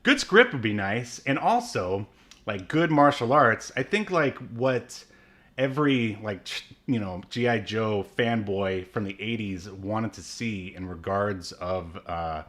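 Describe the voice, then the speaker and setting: A man's voice: lowish voice, expressive, measured pace, fairly bright tone. A man co-hosting a casual movie podcast, rambling through his points with many fillers and asides. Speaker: podcast co-host. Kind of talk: casual chat about movies.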